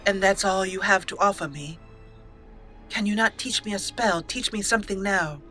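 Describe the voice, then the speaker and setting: A power-hungry young elf mage woman, played by a woman, eager and demanding for forbidden knowledge. Her voice is bright and keen. She speaks like a character in a fantasy roleplay game. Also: medium pitch, normal speed, faint music behind, lightly acted.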